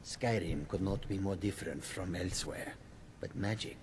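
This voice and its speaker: An actor playing a worldly, reflective mage in a fantasy video game, measured and gravelly. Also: male voice, low-pitched, background noise, close to an everyday voice.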